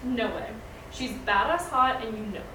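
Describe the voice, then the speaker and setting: Woman playing a high-voiced bantering teenage girl. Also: lively intonation, moderate pace, lightly acted. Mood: smitten, defensive. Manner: casual teen banter. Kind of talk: screenplay table read. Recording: echoey, noisy room from far off.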